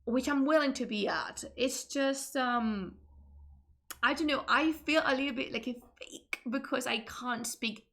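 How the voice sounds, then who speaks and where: Woman, Spanish accent, higher-pitched, chatty, self-interrupting, casual stream talk. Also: measured pace, fairly bright tone. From Spain. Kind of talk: casual chat.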